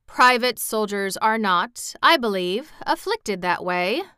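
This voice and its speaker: A woman's voice: mid-range voice, animated, sharp tone, clean tone, no echo, clean studio sound. A woman voicing a smug, pompous male general in a storybook. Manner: smug, deliberate.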